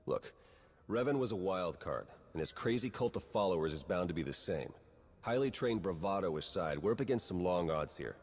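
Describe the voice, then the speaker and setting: A man's voice: sits low, lively intonation, moderate pace, darkish tone, breathy edge, in a large hall, full character voice. A man playing a wry, driven young spy agent in a video game, with a dry, sardonic manner. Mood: determined, wry. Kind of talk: video game cutscene acting.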